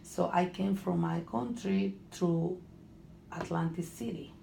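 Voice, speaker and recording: Gravelly, halting Honduran woman in an echoey room. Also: on the low side, warm tone. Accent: Honduran Spanish. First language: Spanish. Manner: halting, restarts phrases, searches for English words. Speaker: interviewee. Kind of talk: casual conversation. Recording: echoey room with faint noise.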